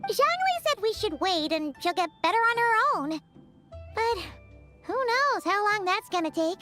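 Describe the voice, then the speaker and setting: A tiny fairy companion chatters in an extremely high, squeaky child's voice. The pitch bounces all over as it blurts out questions with bubbly game-character energy. Music plays behind. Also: female voice, big pitch swings, a little slow, pushed and bright, heavily acted. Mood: curious, puzzled. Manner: bubbly, blurting, refers to self in third person. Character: small excitable childlike female fairy companion, nosy and food-loving. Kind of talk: video game voice acting.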